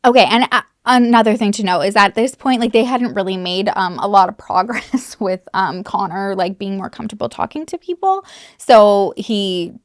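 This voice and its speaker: A woman's voice: medium pitch, moderate pace, no echo, clean studio sound. Chatty woman telling a true crime story. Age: adult mother. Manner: chatty, filler-heavy, casual swearing.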